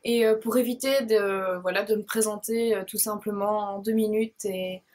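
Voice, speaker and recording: A woman's voice: medium pitch, steady tone, normal speed, a little mellow. A shy woman in her twenties with a Belgian French accent from Liège, answering viewer questions to camera, hesitant and full of fillers and restarts. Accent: Belgian French, Liège province. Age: 20s. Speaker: beauty blogger and vlogger.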